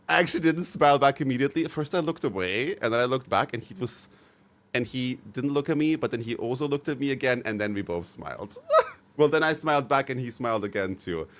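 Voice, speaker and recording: A man's voice: medium pitch, fairly bright tone. A young man talking casually to his livestream viewers, gushing and frank, with many fillers and repeats. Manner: chatty, frank and sometimes profane. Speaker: livestream host.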